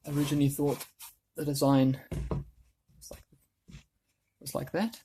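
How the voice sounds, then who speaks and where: A man with a gravelly voice explaining a woodworking job casually, thinking aloud as he works. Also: a little high, flat delivery, a little slow, noisy background. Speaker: DIY woodworking video host. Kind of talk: casual hands-on tutorial talk.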